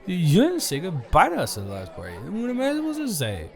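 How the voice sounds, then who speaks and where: Man playing a drunk, giddy roommate, swooping pitch. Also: huge pitch swings, fast talker, gravelly, exaggerated voice. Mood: chatty, rambling. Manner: rambling, tipsy, dragged-out and repetitive. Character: drunk, giddy, stubborn male roommate at a party. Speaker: audio roleplay performer, channel creator. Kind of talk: intimate improvised audio roleplay.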